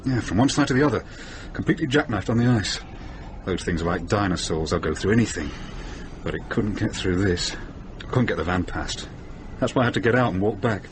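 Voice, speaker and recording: An actor playing a worldly, wisecracking middle-aged van driver in a radio drama, blokey and matter-of-fact. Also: male voice, on the low side, lively intonation, fairly quick, close to an everyday voice. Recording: noisy radio drama with background ambience.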